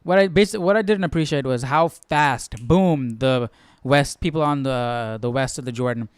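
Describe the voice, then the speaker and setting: A man's voice: medium pitch, moderate pace, clear, resonant tone, dry recording, faint music behind. A man giving casual, off-the-cuff commentary as host of a Bible study, questioning and conversational with repeated phrases.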